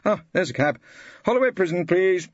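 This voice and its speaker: A man puts on a smug, genial senior barrister for an audiobook. The voice is pitched high and breezy, patronising in its swoops. The sound is slightly dull. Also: expressive, normal speed, no echo. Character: tall, self-satisfied senior QC, genial and patronising. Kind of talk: audiobook character dialogue.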